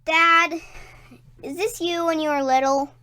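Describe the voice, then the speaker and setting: A woman's voice: very high voice, fairly even intonation, a little slow, bright, forceful tone, heavily acted. A woman playing a mischievous, curious young child in an audio drama comedy. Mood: curious, eager. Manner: eager, cheeky, naive.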